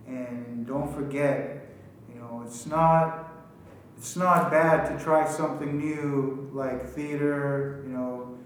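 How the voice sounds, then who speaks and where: Slow-talking man in an echoey room. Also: medium pitch, steady tone. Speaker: amateur radio play cast member. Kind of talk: informal closing thoughts to listeners. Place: inside a prison. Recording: very echoey, distant, faint noise and ambience.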